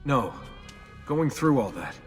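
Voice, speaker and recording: A man's voice: mid-range voice, big pitch swings, takes it slowly. A wary, guarded young man who speaks bluntly and keeps asking questions. Faint music runs underneath.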